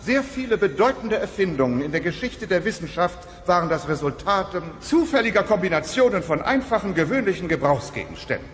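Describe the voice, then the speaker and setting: A man's voice: sits high, big pitch swings, fairly quick, few pauses, fairly bright tone, a little hiss or hum. A man voicing a pedantic, irritable dinosaur science teacher lecturing in a cartoon dub. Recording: long hall reverb, faint music.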